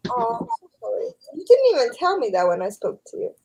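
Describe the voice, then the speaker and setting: A woman's voice: fairly high pitch, huge pitch swings, fairly quick, warm tone, breathy edge, poor audio. A woman in her thirties, a guest on a live stream, chatting casually and telling small stories. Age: 30s. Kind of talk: casual chat.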